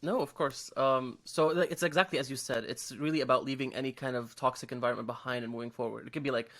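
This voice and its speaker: A man's voice: mid-range voice, steady tone, quick pace, a bit bright. A man from Syria speaking as an interview guest, telling personal things in an earnest, open, conversational way. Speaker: interview guest, comic book writer.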